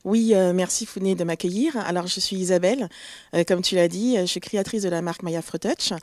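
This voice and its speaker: A woman's voice: lowish voice, fast, no room sound. Hesitant woman guest, dry sound. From Togo. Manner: hesitant, many fillers, warm and proud. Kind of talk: interview answers.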